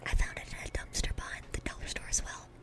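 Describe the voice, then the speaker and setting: A woman playing a dorky, smitten young demon girl in a gentle, rambling, affectionate ASMR roleplay. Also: fast talker, close to an everyday voice. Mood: earnest, enthusiastic.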